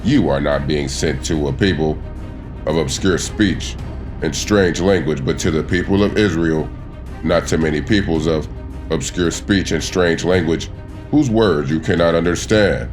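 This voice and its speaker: A man's voice: a bass voice, a little slow, music in the background. A man voicing God in a dramatized scripture reading, stern, solemn and commanding, with emphatic, declamatory delivery. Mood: stern, urging.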